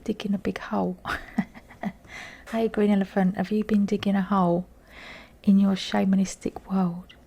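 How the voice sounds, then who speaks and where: A woman with a very husky, breathy voice that often drops to near whisper. Her tone is soft and mellow, a little croaky and low on energy. She reads tarot for viewers in an intimate, informal way, with affectionate address and chatty asides. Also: flat delivery, moderate pace. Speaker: tarot card reader and channel host.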